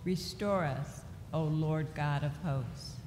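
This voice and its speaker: A middle-aged woman reading scripture aloud at a church lectern in a slow, deliberate way. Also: low voice. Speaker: lay reader. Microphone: lectern microphone. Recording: echoey church hall with faint noise.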